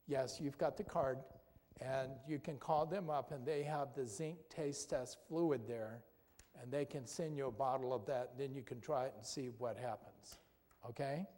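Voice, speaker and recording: A man's voice: mid-range voice, moderate pace, mellow. A man giving a health lecture to an audience in a church, talking conversationally, quizzing the listeners and ending points with "okay?". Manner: conversational, asks the audience questions, tag "okay?". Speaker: doctor giving a health talk. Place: church hall. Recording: long hall reverb.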